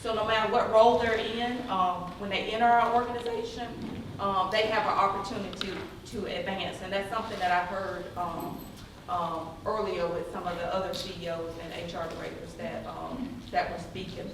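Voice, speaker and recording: A woman's voice: mid-range voice, steady tone, normal speed. A Southern American nurse in her forties or older tells her career story on a panel, with many ums, earnest and proud. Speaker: nurse speaking on an employer panel. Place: large conference hall. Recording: echoey hall, mic far off, noise.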